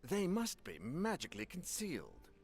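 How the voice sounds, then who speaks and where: An actor playing a tentative, conciliatory council member in a video game cutscene. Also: male voice, high-pitched, wildly swooping pitch, moderate pace, darkish tone, faint music behind, full character voice.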